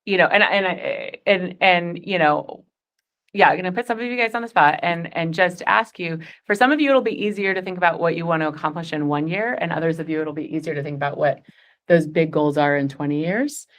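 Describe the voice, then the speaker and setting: A woman's voice: fairly low pitch, brisk. A woman in her forties leading a goal-setting workshop, chatty and upbeat, laughing often. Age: 40s. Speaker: workshop facilitator.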